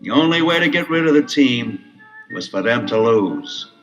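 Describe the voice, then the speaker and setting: An actor playing a scheming adult crook, menacing yet reluctant, in a melodramatic audio adventure. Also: male voice, medium pitch, expressive, moderate pace, lo-fi sound, close to an everyday voice. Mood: confessing. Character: menacing but reluctant scheming adult male crook, sports-team owner. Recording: 1970s LP, slightly distant, faint music.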